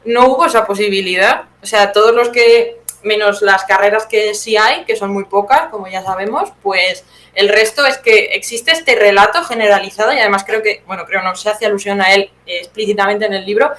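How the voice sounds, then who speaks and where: A woman's voice: mid-range voice, a little fast, a bit bright. A young woman from Teruel with an accent from Spain, answering interview questions conversationally as a guest playwright. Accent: Spanish from Spain. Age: young adult. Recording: roomy echo, clear background noise.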